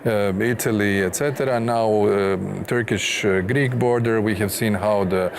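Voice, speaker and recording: A man speaking as a politician in a formal panel debate, measured, diplomatic and insistent. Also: on the low side. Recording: clear background noise and ambience.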